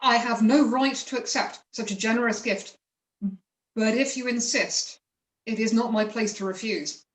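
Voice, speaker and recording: Woman playing a high-voiced, grovelling peasant father. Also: fairly even intonation, normal speed, reverberant, close to an everyday voice, poor audio. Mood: humbly grateful. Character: pleading, grovelling peasant father, timid and pious. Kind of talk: amateur dramatic reading of a myth play.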